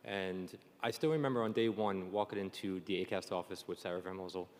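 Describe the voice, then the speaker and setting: A man with a lowish voice hosts a live panel in a chatty, joking way. The sound carries the long reverb of a conference stage. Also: a little fast, darkish tone. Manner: chatty, jokey. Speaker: panel moderator. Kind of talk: informal live panel hosting.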